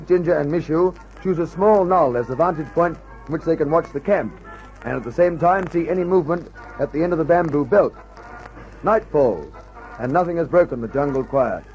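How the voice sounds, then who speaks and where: A man's voice: fairly high pitch, darkish tone. A man acting as the serious narrator of an old radio adventure serial, reading a dramatic script. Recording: dull old radio recording.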